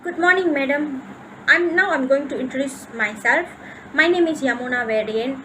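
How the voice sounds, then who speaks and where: Bright, high young woman student. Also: moderate pace, slight background noise, rough recording. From Malaysia. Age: 20s. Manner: loud, bright self-introduction.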